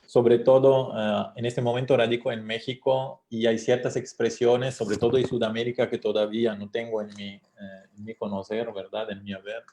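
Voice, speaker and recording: A man from Switzerland whose first language is not Spanish, a coach speaking as a radio interview guest with frequent pauses and fillers. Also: mid-range voice, little pitch movement, mellow. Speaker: guest, brain-training coach.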